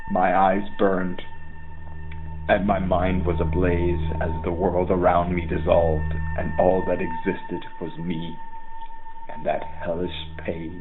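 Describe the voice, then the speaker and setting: A man playing a haunted, dread-stricken scholar who gravely and formally confesses to an audio journal in a horror drama. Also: deep voice. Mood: fearful, intense. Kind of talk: scripted horror audio drama monologue, literary diction. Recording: tinny phone-like audio log. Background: music.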